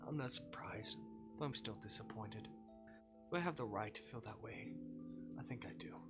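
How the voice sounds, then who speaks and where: A weary, withdrawn visual novel protagonist, acted out by an androgynous streamer, speaking quietly and hesitantly. The voice is subdued and numb, over faint music. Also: medium pitch, expressive, moderate pace, natural voice. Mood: weary, disappointed. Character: tired, numb, withdrawn therapy client named Snow.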